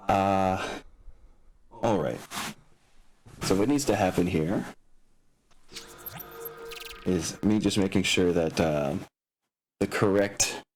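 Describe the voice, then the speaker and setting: A man talking casually and haltingly as game master, explaining the setup of a tabletop game session. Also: sits low, a little mellow. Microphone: open microphone picking up room sounds.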